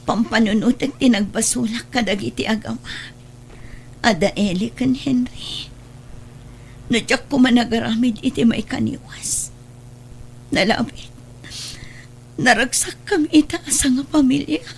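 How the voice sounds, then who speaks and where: A woman's voice: fairly high pitch, measured pace, long pauses. A woman with a Filipino Ilocano accent playing a battered, remorseful mother, tearful and pleading in a melodramatic radio drama. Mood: regretful, weeping. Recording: music bed under radio drama dialogue.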